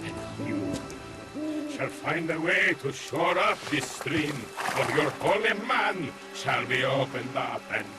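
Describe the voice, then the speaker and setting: An actor playing an ancient demon knight in a video game, haughty and menacing, with grandiose archaic speech. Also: male voice, on the high side, sing-song, slow. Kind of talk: dramatic video game villain acting. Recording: music and rain behind the voice.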